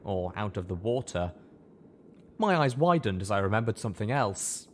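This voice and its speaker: A man's voice: fairly low pitch, big pitch swings, moderate pace, a little hiss or hum. A man reading scripted first-person fiction as a dramatic audiobook narrator.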